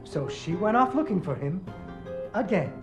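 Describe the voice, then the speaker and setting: Wry storybook father for a game, animated and playful, patient with his child, over prominent music. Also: high voice, moderate pace. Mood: storytelling, wry. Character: patient father improvising a fairy tale for his daughter. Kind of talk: dramatic bedtime-story narration in a video game.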